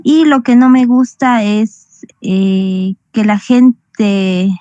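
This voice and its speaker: A soft-spoken woman student answering her teacher in an online class, pausing often. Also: mid-range voice, dry recording. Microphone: video call. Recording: muffled video call audio.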